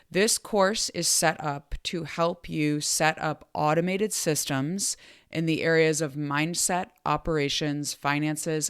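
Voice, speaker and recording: A woman in her forties hosting a podcast, upbeat and chatty, her voice still hoarse from being sick. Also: low-pitched, dry recording, studio quality. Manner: upbeat, chatty, self-promoting. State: hoarse after illness. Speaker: podcast host. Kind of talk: podcast intro and promo.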